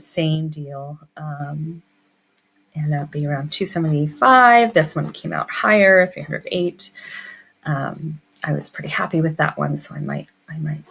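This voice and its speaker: Low-pitched woman giving an informal tutorial. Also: vocal fry, fairly bright tone, slightly rough, rough recording. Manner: casual, thinking aloud. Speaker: floral designer and business course instructor. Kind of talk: informal tutorial walkthrough of a pricing demo.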